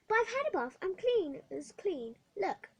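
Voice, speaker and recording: A woman voicing a desperate, defiant little orphan girl, pleading and shrill in a story reading. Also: big pitch swings, unhurried, heavily acted.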